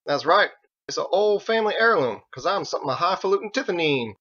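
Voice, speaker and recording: A man's voice: high-pitched, lively intonation, quick pace, fairly bright tone, lo-fi sound, voice pushed up. A man voicing a gallant, folksy, red-necked cowboy in an audiobook, with rustic talk. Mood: playful. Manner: folksy, drawling rustic speech.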